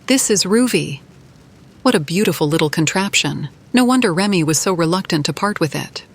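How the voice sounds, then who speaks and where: A woman voicing an earnest, cautious female mage adventurer in a fantasy game, speaking in a measured, firm, formal way. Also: fairly low pitch, expressive, slightly rough. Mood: measured, wary.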